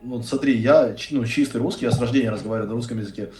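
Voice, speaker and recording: An eighteen-year-old man from Russia, talking fast and bluntly with casual swearing on a video call. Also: mid-range voice, mellow, faint music behind. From Saint Petersburg, Russia. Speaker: university economics student. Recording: echoey room, far from the mic.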